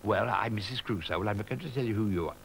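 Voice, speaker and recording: Older guy doing dame stage gags, gravelly low voice, music faint behind. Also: fast talker, a little hiss or hum. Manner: gossipy comic monologue, chuckling at own gags. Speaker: pantomime dame performer. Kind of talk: stage comedy patter.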